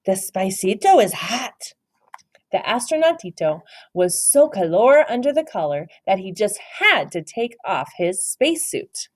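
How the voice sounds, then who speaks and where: A woman reading a picture book aloud in an expressive storytelling style for children. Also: medium pitch, moderate pace, no echo. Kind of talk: animated children's read-aloud narration.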